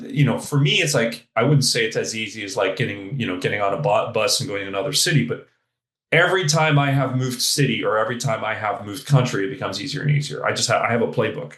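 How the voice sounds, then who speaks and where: Man, podcast guest, emphatic and animated, casual swearing, echoey room. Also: medium pitch, quick pace. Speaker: podcast guest, keynote speaker. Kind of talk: casual interview talk. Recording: roomy echo.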